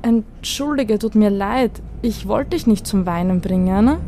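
A woman playing a fussy mother of two with a soft heart in an amateur audio drama. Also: medium pitch, a little slow, background noise, natural voice. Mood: sympathetic. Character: fussy, irritable mother of two, softening into helpful listener.

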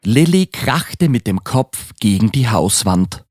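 A man narrating a children's Christmas audio play as a scripted story, with an expressive read-aloud delivery. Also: lowish voice, slow, very noisy.

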